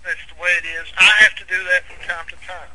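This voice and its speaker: A man's voice: sits high, level tone, quick pace, pushed and bright, low-quality recording. A man with a Southern US accent, a musician guest in a casual interview chat, talking in a plainspoken, laid-back way.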